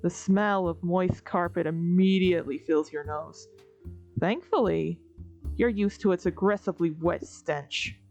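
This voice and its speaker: A woman's voice: lowish voice, measured pace. A woman narrating a comic dub in the second person, with a wry, weary, conversational delivery. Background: soft music.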